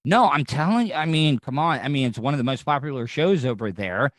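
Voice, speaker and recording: An American man hosts a casual podcast interview, wandering through looping asides before reaching his question. His voice sits at an ordinary middle pitch. It is recorded close and dry, with no room sound. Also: expressive, brisk, a bit bright, dry recording. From United States. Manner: rambling, talks in looping asides. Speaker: podcast host.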